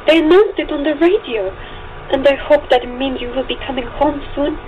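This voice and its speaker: A hopeful young woman reads her love letters aloud, played by a woman in a homemade radio drama. Her voice sits very high, and she speaks with earnest devotion. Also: normal speed, lo-fi sound, background noise, close to an everyday voice. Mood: loving. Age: young adult.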